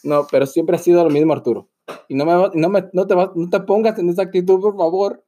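A man's voice: sits high, fairly even intonation, quick pace, mellow. A man improvising a flustered, bickering husband on a prank phone call, stalling, repeating himself and pleading melodramatically. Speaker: podcast host making a prank call.

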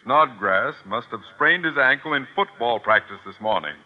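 An American actor playing a middle-aged, pompous and suspicious school principal, formal and blustering, in a vintage radio comedy. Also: male voice, mid-range voice, lively intonation, moderate pace, natural voice. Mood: indignant. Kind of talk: 1940s-50s American radio comedy acting. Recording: muffled, hissy old radio recording.

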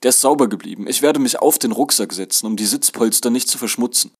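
A man's voice: mid-range voice, fast, lightly acted. A man voicing a polite young traveller in a story, earnest and pleading with growing exasperation. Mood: apologetic, pleading.

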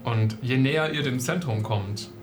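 A man's voice: medium pitch, over music. Man narrating as game master. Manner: descriptive, measured narration with fillers.

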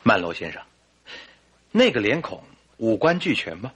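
A courteous doctor and detective's sidekick in a radio play. The voice is bright and a little husky, thoughtful yet animated in its inflection. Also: male voice, lowish voice, normal speed, exaggerated voice. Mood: inquisitive. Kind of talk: radio drama acting.

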